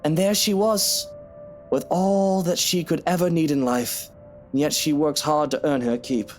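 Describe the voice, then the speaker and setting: A man's voice: on the high side, fairly even intonation, a little slow, darkish tone, natural voice. A man playing a cheeky, moody married man in a visual novel game, teasing and informal with British-style slang, over music. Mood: musing, earnest. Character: cheeky, moody married man, musing about his wife. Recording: music behind the voice.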